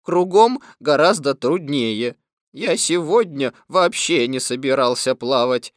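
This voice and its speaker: A woman voicing a gloomy, sarcastic old male donkey in a storybook, drawling slowly and flatly. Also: a bass voice, no room sound, studio quality, pitched below the performer's own voice, heavily acted. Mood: glum. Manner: slow, flat, sardonic drawl.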